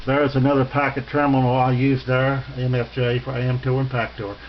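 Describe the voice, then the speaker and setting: Halting American man, dull lo-fi sound. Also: mid-range voice, little pitch movement. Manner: halting, rambling, folksy and polite. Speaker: amateur radio hobbyist giving a tour. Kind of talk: casual unscripted show-and-tell narration. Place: radio room at home. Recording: dull lo-fi home video, faint noise.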